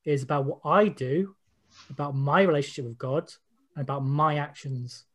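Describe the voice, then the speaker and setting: A man's voice: a little high, steady tone, normal speed. A British man giving personal testimony in an informal discussion, opinionated and repeating phrases for emphasis. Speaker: interviewee, panel participant.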